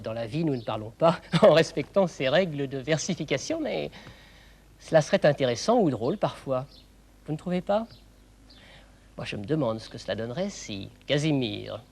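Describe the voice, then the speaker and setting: A man presenting a children's show in a didactic, explanatory way, phrasing clearly and putting questions to viewers. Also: mid-range voice.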